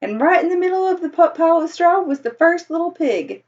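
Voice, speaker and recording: A woman's voice: very high-pitched, lively intonation, fairly quick, a little mellow, pitched above the performer's own voice. A woman voicing an aggrieved, folksy male wolf who tells his side of a children's storybook in a chatty, mock-innocent way. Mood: smug, excusing. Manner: conversational, self-justifying, mock-innocent. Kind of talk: children's storybook read-aloud. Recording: dull lo-fi recording.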